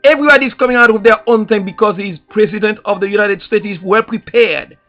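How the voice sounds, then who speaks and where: A man's voice: sits high, quick pace. A man with a Haitian accent speaking as an activist in an impassioned, preacher-like address, emphatic and repetitive, leaning on rhetorical questions. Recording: dull lo-fi sound, faint music behind.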